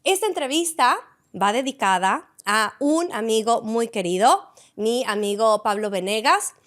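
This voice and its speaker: A woman hosting an interview in a bar, effusive and expressive, often pausing with "este". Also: a little high. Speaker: interview host. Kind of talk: warm conversational interview. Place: a Latin music bar.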